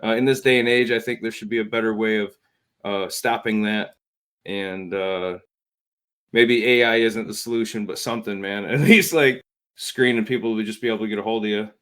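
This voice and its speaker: A man's voice: lowish voice, steady tone, moderate pace, a bit bright. A man talking casually as a podcast panelist, brainstorming in an informal, jokey way with hesitant phrasing.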